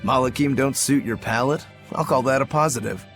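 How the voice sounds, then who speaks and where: An actor playing an odd, earnest warrior in a fantasy game, with a plain-spoken, literal manner. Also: male voice, mid-range voice, fairly quick, over music, close to an everyday voice. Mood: matter-of-fact. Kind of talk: dubbed fantasy game acting.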